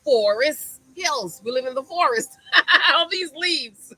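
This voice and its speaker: Chatty bright-voiced woman recapping a show. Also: high-pitched, takes it slowly, dry recording, low-quality recording. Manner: chatty, animated retelling, acts out gasps. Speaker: reaction vlogger. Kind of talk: casual reality-show recap. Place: at home, street outside audible.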